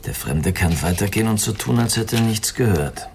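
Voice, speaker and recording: A man's voice: lowish voice, lively intonation, a little fast, vocal fry, background noise. An actor playing a weary, self-pitying man who drinks, musing and cynical, in a radio crime drama. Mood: wry, guarded. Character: weary, self-pitying male drifter and drinker, arrogant, fatalistic.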